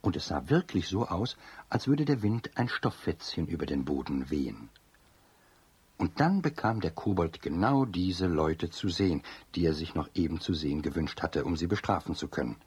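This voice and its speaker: A man narrating a children's radio play as a scripted storyteller, with a husky voice. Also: sits low, big pitch swings, normal speed, a little mellow, dry recording.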